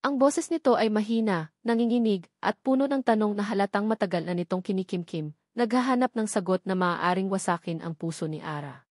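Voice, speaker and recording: A woman's voice: mid-range voice, fairly quick, darkish tone, no room sound. Filipino woman narrating a story, dry sound. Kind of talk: scripted dramatic story narration.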